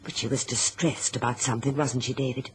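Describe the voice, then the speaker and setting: Bossy sly mum in an old radio play, silky n theatrical, bit of hiss. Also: female voice, a bass voice, steady tone, fairly quick. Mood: feigned concern. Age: middle-aged. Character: scheming, domineering mother with a sweet, coaxing front. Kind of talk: old-time radio drama acting. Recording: noisy old radio drama recording.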